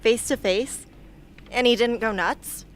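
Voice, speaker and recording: A woman's voice: fairly high pitch, takes it slowly. An actress playing a defiant, guarded young woman rebel fighter in an amateur radio play, speaking in a blunt, sardonic way. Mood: concerned. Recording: noisy amateur recording.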